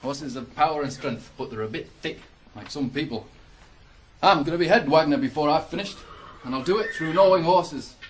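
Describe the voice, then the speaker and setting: A man's voice: fairly high pitch, normal speed, natural voice. An actor in a 1980s radio drama playing a bossy, ambitious ploughman who instructs a young lad in a brusque, teasing way. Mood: proud, instructive. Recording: noisy 1980s radio broadcast recording.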